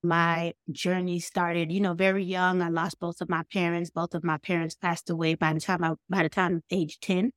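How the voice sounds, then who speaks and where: Low-voiced woman guest telling her story. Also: little pitch movement, moderate pace, few pauses, no echo, clean studio sound. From Bahamas, moved to the United States at seven. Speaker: podcast guest, foster youth advocate. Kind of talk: personal storytelling in an interview.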